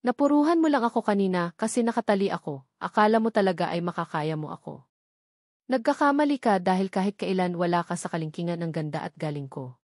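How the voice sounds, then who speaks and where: A woman's voice: lowish voice, animated, fast, darkish tone, no echo, clean studio sound. A woman voicing a spiteful, proud young woman out for revenge in an audiobook story, mocking and biting. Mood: boastful mocking.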